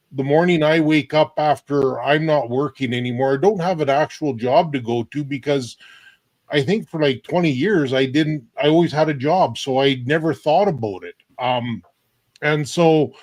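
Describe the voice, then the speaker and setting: Man, 40s, from Canada; candid, halting panel talk; slightly high, dry close sound. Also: darkish tone, no room sound. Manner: rambling, candid, halting with restarts. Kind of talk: live online panel chat.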